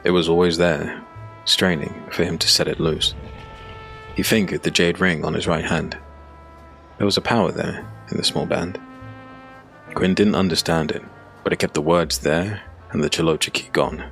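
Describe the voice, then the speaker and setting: Male audiobook narrator, very low pitch, creaky, measured dramatic storytelling, faint music underneath. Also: fairly even intonation, fairly bright tone. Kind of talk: scripted dark fantasy narration.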